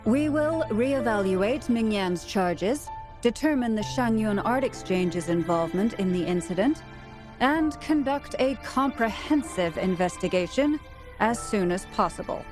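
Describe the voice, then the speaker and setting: Stern female official, acted by a woman, medium pitch, measured formal delivery, authoritative, video game cutscene, background music. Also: lively intonation, natural voice. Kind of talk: anime-style video game voice acting. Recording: music behind the voice. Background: game soundtrack music.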